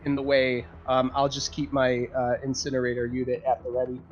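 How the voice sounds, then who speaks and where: A man playing a tabletop roleplaying game, talking casually and haltingly, partly in character. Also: mid-range voice, completely flat delivery, dull audio, slight background noise. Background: background music.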